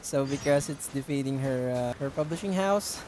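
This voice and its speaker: A woman's voice: extremely low pitch, normal speed, dark tone, a little hiss or hum. A woman giving casual gameplay commentary as a streamer, with giggly, offhand reactions. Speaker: game streamer.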